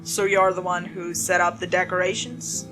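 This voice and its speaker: A woman's voice: on the low side, fairly even intonation, over music, lightly acted, poor audio. A woman voicing a weary, businesslike detective who questions a suspect firmly in a horror story. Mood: probing, businesslike. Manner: brisk, firm, probing. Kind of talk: dramatic horror story reading.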